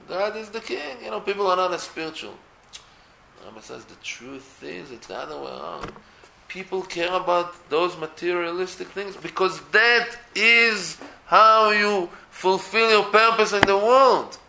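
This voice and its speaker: Israeli guy talking torah casually, gravelly bright voice, swings up and down a lot, echoey room. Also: sits high, a little slow, a little hiss or hum. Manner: animated, many restarts, rising to emphatic peaks. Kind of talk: casual religious talk.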